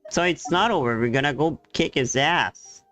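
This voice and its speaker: A man's voice: a little high, normal speed, a bit bright. A man giving informal, off-the-cuff reaction commentary as he plays a video game. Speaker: gaming streamer. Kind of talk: casual reaction commentary over gameplay. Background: game music.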